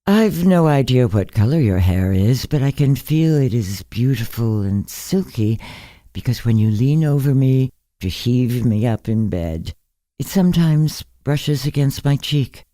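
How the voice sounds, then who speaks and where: A man voicing a frail, blind woman in her nineties in a story, courteous, frank and deliberate. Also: medium pitch, expressive, dark tone, no echo, clean studio sound, close to an everyday voice. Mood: musing. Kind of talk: storybook character reading.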